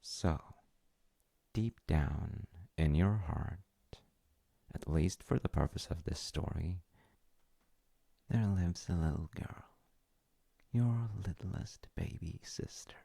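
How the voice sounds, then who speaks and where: Very deep, gravelly, gentle storytelling dad, acted by a man. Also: no echo, heavily acted. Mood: soft, earnest storytelling. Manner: tender, hesitant, pausing between short phrases. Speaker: audio roleplay performer. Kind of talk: intimate audio roleplay, improvised-sounding storytelling.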